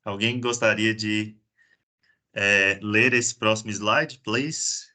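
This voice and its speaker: Bright-voiced Brazilian man hosting a call. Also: on the low side, fairly even intonation, slow pace. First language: Portuguese. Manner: chatty, repeats words when starting sentences. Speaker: meeting host. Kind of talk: casual conversation on a video call. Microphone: video call.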